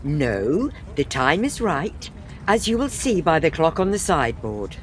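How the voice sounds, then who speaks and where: A woman playing a composed, dryly amused Victorian professor's wife in a comic audio drama, measured and precise. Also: low voice, big pitch swings, slight background noise. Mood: unruffled.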